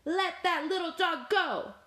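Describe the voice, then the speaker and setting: High, brave storybook cow, done by a woman. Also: lively intonation, moderate pace, darkish tone. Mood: commanding.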